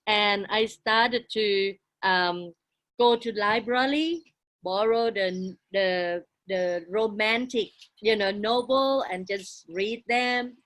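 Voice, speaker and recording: A woman in her forties, English not her first language, telling her life story as a guest in halting, broken English with frequent restarts. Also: mid-range voice, fairly bright tone, poor audio. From outside Australia. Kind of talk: casual interview, life story.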